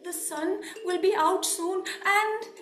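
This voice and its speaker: A woman's voice: very high-pitched, takes it slowly, roomy sound, music in the background, voice pushed up. A woman storyteller voicing a poor male laborer who is meek and frightened, stammering as he pleads. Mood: timid, pleading. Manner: stammering, repetitive timid pleading.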